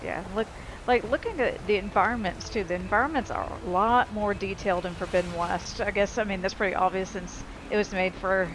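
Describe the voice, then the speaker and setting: A woman's voice: medium pitch, a little fast, noisy background. A woman giving casual, informal and rambling gameplay commentary as she explains how a game works. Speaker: game streamer. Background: game music and sound effects.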